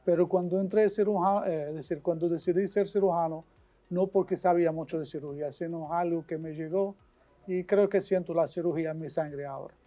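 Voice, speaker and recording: Syrian-accented man, bright and earnest. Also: on the high side, fairly even intonation. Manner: earnest, measured, with restarts. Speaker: guest surgeon. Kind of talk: interview answers.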